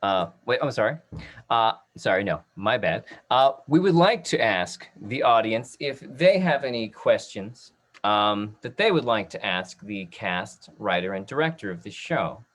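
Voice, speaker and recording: A man hosting an informal virtual Q&A and making announcements, halting and apologetic as he repeats and restarts his phrases. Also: on the low side, expressive, fairly bright tone. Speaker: host moderating an audience Q&A. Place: online video call.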